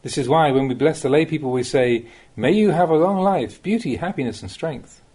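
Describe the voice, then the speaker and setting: A man reading a Buddhist Dhamma talk aloud as a monk, in the measured, plain-spoken way of a teacher, with rhetorical emphasis. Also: a little high, animated, warm tone, husky.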